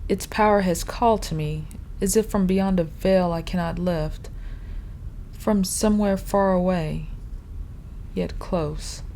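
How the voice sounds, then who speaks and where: A woman's voice: on the low side, dark tone, slight background noise, low-quality recording. A woman playing a solemn, composed starship first officer in a sci-fi audio drama.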